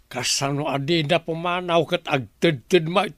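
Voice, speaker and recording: A man's voice: fairly high pitch, measured pace, fairly bright tone, dry recording, clean studio sound. A man with an Ilocano accent playing a blunt, grumbling old husband in an audio drama.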